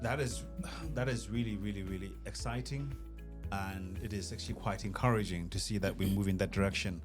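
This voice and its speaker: A man's voice: low voice, brisk. A man hosting a podcast interview in a casual, conversational style. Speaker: podcast host. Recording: music behind the voice. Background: music.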